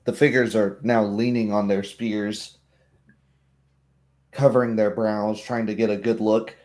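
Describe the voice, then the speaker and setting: Slow, mellow male game master. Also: fairly low pitch, flat delivery. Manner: measured, descriptive storytelling. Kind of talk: narrating a tabletop roleplay.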